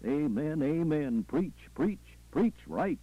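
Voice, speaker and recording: A man's voice: a little high, animated, slow, dark tone, no room sound, voice pushed up, rough recording. A man voicing fervent congregation members who cry out short, repeated responses to a sermon. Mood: fervent approval. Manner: short, repeated, pleading exclamations. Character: fervent church congregation members crying responses, raised voices. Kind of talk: call-and-response shouts.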